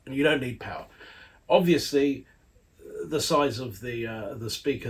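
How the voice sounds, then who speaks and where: Chatty man reviewing hi-fi gear. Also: medium pitch. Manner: chatty, rambling, with playful asides. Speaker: audio magazine editor reviewing a loudspeaker. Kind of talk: casual spoken product review.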